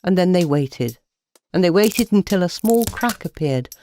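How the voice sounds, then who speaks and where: A woman narrating a scripted children's bedtime story in an expressive storytelling manner. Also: lowish voice, unhurried, soft background music.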